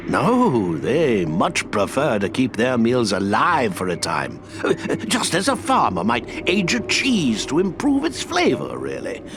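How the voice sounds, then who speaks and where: An actor playing an eccentric, kindly old wizard who talks to animals, whimsical and rambling in a video game cutscene. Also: male voice, mid-range voice, noisy background. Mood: chatty, unconcerned.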